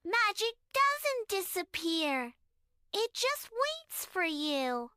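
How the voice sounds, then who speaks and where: A woman voicing a sweet, earnest young girl in a gentle children's bedtime story. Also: very high voice, very animated, extremely slow, dry recording, clean studio sound, voice pushed up, exaggerated voice. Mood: reassuring, determined.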